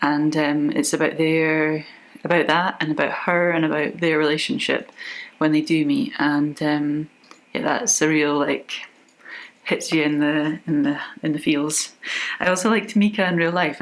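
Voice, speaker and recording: A woman's voice: low-pitched, rough recording. A young woman book vlogger chatting casually, with many fillers and self-corrections. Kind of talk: casual chat about books.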